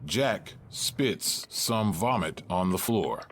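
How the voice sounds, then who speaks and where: Deep guy reading stage directions real slow, little bit of background noise. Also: expressive, fairly bright tone. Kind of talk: scripted narration.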